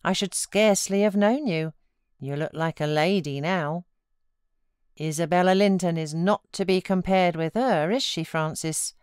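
A condescending British gentleman acted by a woman narrator from a classic storybook, gloating as master of the house. The recording is dry and close. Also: on the low side, measured pace, clear, resonant tone, dry recording, lightly acted. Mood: delighted. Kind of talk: storybook character reading.

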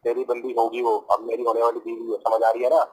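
A man's voice: medium pitch, completely flat delivery, a little fast, a little mellow, slightly rough. Confrontational North Indian man on speakerphone. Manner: confrontational, scolding. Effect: phone call on speaker. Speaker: prank call recipient. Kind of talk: heated phone argument.

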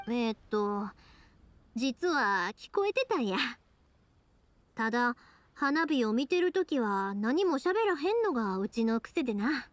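High-voiced, cheerful Kansai young woman. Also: sing-song, slow pace. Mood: reflective, earnest. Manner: friendly, earnest, colloquial. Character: cheerful, sincere young woman, a fireworks maker. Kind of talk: Japanese anime-style game voice acting.

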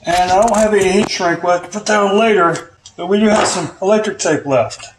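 A man in his thirties with a slightly high voice, talking through a hands-on job in an offhand way. He hosts it like a casual DIY tutorial. The sound is clearly echoey, with some faint noise behind him. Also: fairly quick. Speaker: host of a DIY car audio video. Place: home garage, at the car and workbench. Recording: roomy echo, faint noise and clicks.

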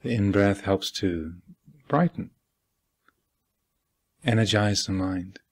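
A man with a husky voice guiding a meditation as a teacher, speaking calmly and deliberately with long pauses. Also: fairly low pitch, slight background noise. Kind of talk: guided meditation instruction. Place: meditation hall at a retreat.

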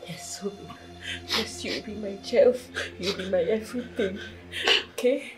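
A woman's voice: mid-range voice, unhurried, music in the background, natural voice. An actress playing a young woman weeping over her dying lover, pleading and tearful. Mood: tearful tender. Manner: tearful, pleading, breaking into wails.

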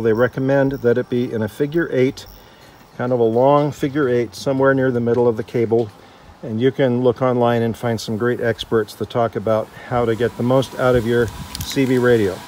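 A man's voice: mid-range voice, steady tone, moderate pace, a little mellow. An American man, around his fifties, chatting casually and thinking aloud as he explains his gear hands-on. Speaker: vlogger demonstrating Jeep radio gear. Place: outdoors by a vehicle and inside a truck cab.

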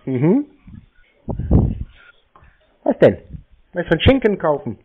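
A man's voice: fairly high pitch, sing-song, slow pace, slightly rough. A man in casual phone banter, answering tersely and teasingly with a straight face. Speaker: prank call taker stringing scam callers along. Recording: muffled narrow-band phone call audio.

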